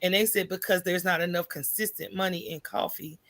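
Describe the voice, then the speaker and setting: Podcast guest: American woman, bright, gravelly edge, candid informal storyteller. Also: fairly low pitch, fairly even intonation, fairly quick. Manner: informal, candid, often checks in with "right?". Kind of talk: conversational personal storytelling in an interview.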